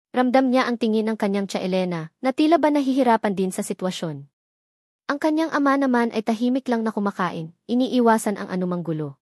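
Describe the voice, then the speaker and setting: A woman storyteller reading a dramatic romance aloud, steady and scripted. Her voice sits at a middle pitch and is recorded very dry and close, with no room sound. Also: dry recording.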